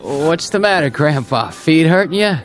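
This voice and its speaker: An actor playing a brash, familiar bartender in a radio drama, with casual, slangy, teasing patter. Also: male voice, a little high, animated, moderate pace, music in the background, lightly acted. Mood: breezy.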